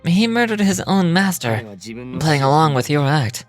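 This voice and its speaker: A man voicing a theatrical young male stage magician from a game, knowing and cutting, with deliberate, pointed delivery. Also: fairly high pitch, big pitch swings, faint music behind. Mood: accusing, solemn.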